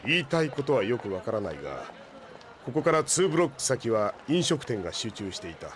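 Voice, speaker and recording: Man as stoic old cyborg with lively inflection. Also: mid-range voice, slight background noise, natural voice. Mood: dry concern. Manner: measured, formal, dryly serious. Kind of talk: Japanese anime audio drama acting. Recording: faint street crowd behind.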